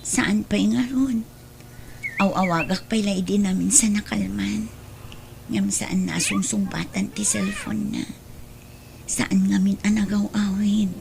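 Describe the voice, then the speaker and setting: A woman's voice: mid-range voice, steady tone, measured pace, gravelly, pauses often, over music. A woman playing an aggrieved, long-suffering young wife in an audio drama, with an Ilocano accent. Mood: worried.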